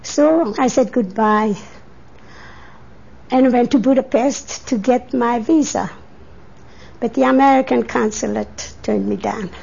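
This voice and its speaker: An eighty-year-old woman from Hungary with a Hungarian accent, recalling her life in a deliberate way for an oral history interview on tape. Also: fairly high pitch, gravelly, darkish tone, breathy edge. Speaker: interviewee, immigrant. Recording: dull 1980s tape interview recording.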